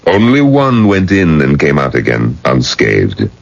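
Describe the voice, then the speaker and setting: An older rabbi, paternal and admonishing, in a vintage radio drama. His very low, raspy voice rises and falls expressively with grave caution. The sound is roomy and dull. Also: huge pitch swings, unhurried, exaggerated voice. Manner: paternal, grave, sighing interjections. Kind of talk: 1980s radio drama acting.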